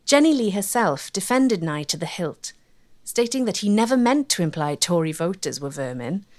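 A woman's voice: fairly low pitch, sing-song, a little mellow. Woman narrating a history documentary. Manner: clear, measured storytelling.

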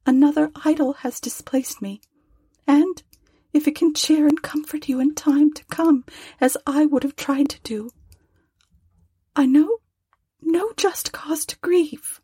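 A woman voicing a young woman who speaks softly and sorrowfully, gentle but firm, close to tears. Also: high voice, unhurried, long pauses, dry recording, voice pushed up. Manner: soft, tearful, pleading.

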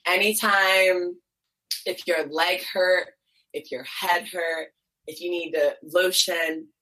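A young woman with a low, bright voice tells stories in an animated, chatty way. She grew up in Ohio and her first language was Twi. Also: slow, rough recording. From United States, Columbus, Ohio. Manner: lively, animated storytelling with laughs. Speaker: guest, beauty brand founder. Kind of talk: casual interview chat.

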